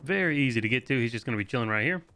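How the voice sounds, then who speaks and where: A man with a bright, forward voice hosts a game walkthrough. His delivery is casual and matter-of-fact, with medium pitch. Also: lively intonation, racing, smooth. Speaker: video game guide host. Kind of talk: casual walkthrough commentary, reading quest dialogue aloud.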